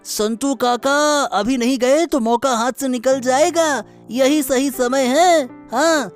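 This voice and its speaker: A woman voicing an eager young accomplice of a village cattle thief in an animated moral story. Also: high voice, takes it slowly, soft background music. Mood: eager, scheming.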